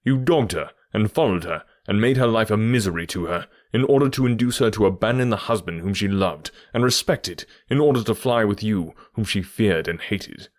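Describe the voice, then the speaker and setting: A stern English detective of classic storybooks, voiced by a male narrator, very deep and self-assured. He speaks with quiet authority in a dry, close recording. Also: expressive, normal speed, no room sound.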